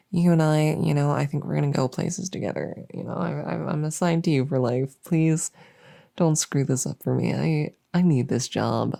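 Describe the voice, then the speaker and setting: A woman playing an anxious, overworked but friendly monster under the bed in a comedic ASMR roleplay, rambling and stammering softly, often slipping into a whisper. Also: low-pitched, gravelly. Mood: anxious, pleading. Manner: rambling, nervous, stammering and repeating herself.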